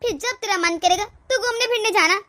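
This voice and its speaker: औरत की आवाज़ में ऊँची आवाज़ वाली चालबाज़ सहेली. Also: female voice, very high-pitched, a little fast, bright, forceful tone. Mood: scheming, reassuring. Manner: insistent, instructing. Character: bossy, scheming female friend advising a young wife. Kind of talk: melodramatic domestic story acting.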